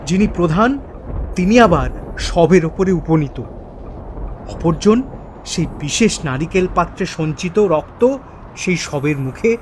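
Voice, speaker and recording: A man giving a dramatic first-person narration of a horror story, with suspenseful, deliberate phrasing. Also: on the high side, slight background noise.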